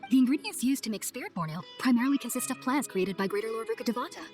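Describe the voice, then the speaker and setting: A woman playing an earnest, polite young scholar in a video game, speaking in a formal, measured, articulate way. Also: on the high side, expressive, a little mellow, faint music behind, natural voice. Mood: earnest, explaining. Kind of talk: video game cutscene acting.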